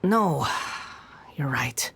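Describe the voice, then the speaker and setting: A man voicing a polite, reserved young drifter in a video game, courteous and soft-spoken. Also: medium pitch, lively intonation, very slow, gravelly, husky, exaggerated voice. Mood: grateful, reluctant. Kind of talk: video game character acting.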